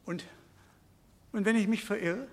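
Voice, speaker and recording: A man's voice: high voice, measured pace. An actor playing a timid, put-upon brother in a radio drama, nervous and hesitant in his speech. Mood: fearful, hesitant. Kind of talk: German radio drama acting.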